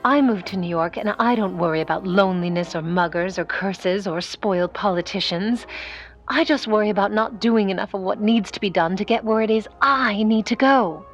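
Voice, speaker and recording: An actress playing an ambitious, hard-boiled young woman of the 1950s in a vintage audio drama, speaking deliberately and defiantly. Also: medium pitch, soft background music, close to an everyday voice. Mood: determined.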